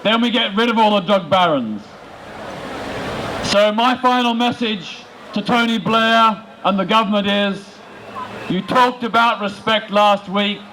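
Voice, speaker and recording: A man making an emphatic protest speech outdoors, in short, deliberate rhetorical phrases. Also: sits high. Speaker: political campaigner speaking at a rally. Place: large open-air city square rally. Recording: outdoor public address with background noise.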